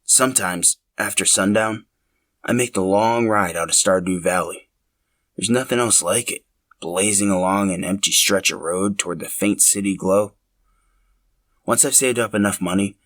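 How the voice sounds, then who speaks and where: A man's voice: sits low, moderate pace, a bit bright, no echo, studio quality. A man voicing a coy young motorbike lover, casual and shyly teasing, in a narrated story. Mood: dreamy.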